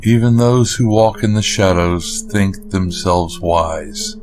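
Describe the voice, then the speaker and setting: An actor playing a grave, calm occult mentor in a gothic audio drama, speaking low and steady with solemn warnings. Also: male voice, slow, over music. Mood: grave, almost kind. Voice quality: low, hushed. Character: grave, calm male occult exorcist mentor, almost kind.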